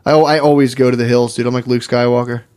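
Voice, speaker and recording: A man's voice: medium pitch, fairly even intonation, fast talker, a little mellow, clear, resonant tone. A man co-hosting a comedy podcast in casual, profane, slangy banter, peppered with "dude.". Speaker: podcast co-host.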